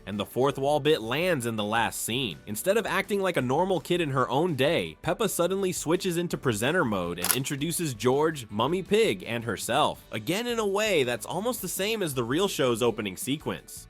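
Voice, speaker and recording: Male commentator, wry and casual, lively pitch over faint music. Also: normal speed, hardly any pauses. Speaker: video commentator. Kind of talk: commentary countdown.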